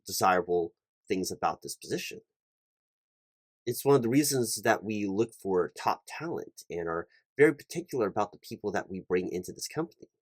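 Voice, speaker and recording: A man with a fairly low voice, recorded close and dry, roleplays a corporate hiring manager. He sounds earnest and a little flustered, stumbling over his words as he explains things in official business language. The delivery is that of a one-sided ASMR interview. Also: no room sound. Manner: halting, stammering corporate earnestness.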